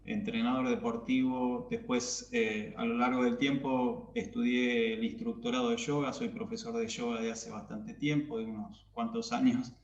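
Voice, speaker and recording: A man's voice: level tone, moderate pace, few pauses. A man with an Argentine accent casually introducing himself and his background on a video call. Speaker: student guest, sports coach. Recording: distant, roomy, dull video call, rumble.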